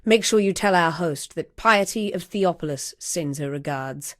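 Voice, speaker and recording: A woman's voice: on the low side, normal speed, no room sound, natural voice. An actress playing a cruel, haughty female villain in a video game, with a silky, mocking and condescending manner. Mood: haughty mockery.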